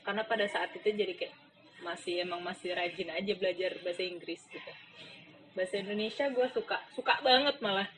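A woman's voice: mid-range voice, a bit bright, faint music behind, low-quality recording. A young woman, joking and teasing in a casual chat. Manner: playful, teasing, quick to laugh.

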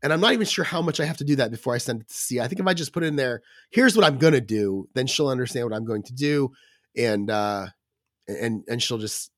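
A man's voice: mid-range voice, animated, fast talker, no echo, clean studio sound. A man co-hosting a podcast in casual chat, his voice scratchy from a cold, rambling with fillers and restarts. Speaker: podcast co-host.

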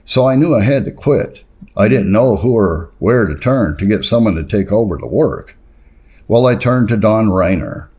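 A man's voice: on the low side, animated, moderate pace, a little mellow, slightly rough. A man reading a first-person testimony aloud in an earnest, plain-spoken storytelling way. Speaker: reader.

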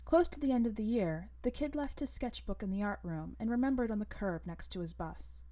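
A woman narrating literary fiction as a podcast host, in a measured, deliberate manner. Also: medium pitch, expressive, mellow. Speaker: podcast host and story reader.

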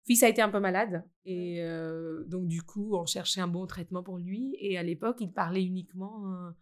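A woman's voice: lowish voice, moderate pace. A middle-aged woman from India, talking hesitantly with many fillers as an interviewee about founding a school. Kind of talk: conversational interview.

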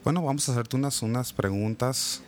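A man hosting a live radio interview, chatting in a relaxed way with frequent religious asides. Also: mid-range voice, fairly quick, faint music behind. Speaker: radio host and music producer.

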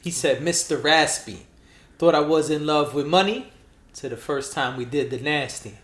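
A man's voice: on the high side, normal speed, a bit bright, roomy sound. A man co-hosting a podcast in casual chat, full of joking, teasing banter. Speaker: podcast co-host.

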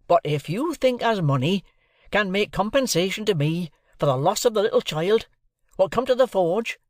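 Man voicing Joe, earnest rustic blacksmith, pitched high. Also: lively intonation, normal speed, no room sound, clean studio sound. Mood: wounded, earnest. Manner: rambling, repetitive, rustic turns of phrase. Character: Joe, big tender-hearted village blacksmith, indignant when provoked. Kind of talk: audiobook character dialogue.

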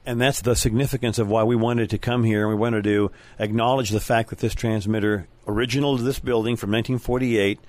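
A man's voice: fairly low pitch, fairly even intonation, fairly quick, hardly any pauses, darkish tone. A middle-aged or older man hosting a conversational interview in a polite, appreciative manner. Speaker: radio show host and interviewer.

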